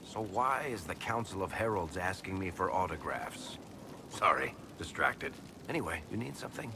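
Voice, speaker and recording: A sardonic male rogue from a fantasy game. He talks in a very low voice with lively swings and a dry, wisecracking manner. Clear ambient noise sits behind him. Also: normal speed. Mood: wry, distracted. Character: wry, sardonic roguish male storyteller, grudgingly good-natured. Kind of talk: cinematic game dialogue acting.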